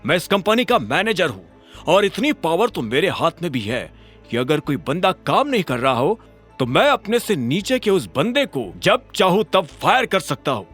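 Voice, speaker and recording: A man voices a pompous office boss with a raised, lively voice that swings up and down as he lords it over his staff. He is bossy and quick to lose his temper. This is an audiobook character voice. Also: fairly high pitch, slightly rough, soft background music, full character voice. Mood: smug. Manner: haughty, bossy, shouting in anger. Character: pompous, hot-tempered male office manager.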